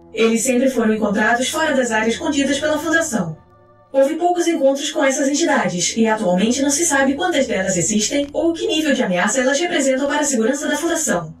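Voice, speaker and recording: High-pitched woman narrator, echoey, over music. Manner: formal, clinical, measured reading. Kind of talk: scripted documentary-like narration of fictional case files. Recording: roomy echo with music underneath.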